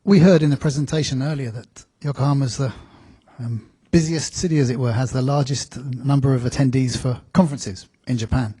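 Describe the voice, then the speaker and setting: A male moderator with a mellow, slightly husky voice asks panelists questions politely, in a measured way. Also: mid-range voice, fairly even intonation.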